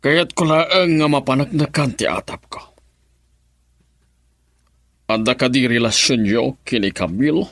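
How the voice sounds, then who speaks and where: A concerned older male relative in a radio drama. His mid-range voice is measured and probing, recorded dry with no room around it. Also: mid-range voice, a bit bright, dry recording. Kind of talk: radio drama acting in Ilocano.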